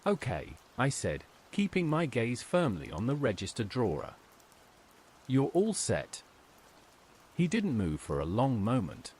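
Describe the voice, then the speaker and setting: A man's voice: mid-range voice, huge pitch swings, measured pace, darkish tone, slightly rough. A man reading a scripted first-person horror story in a dramatic, suspenseful way.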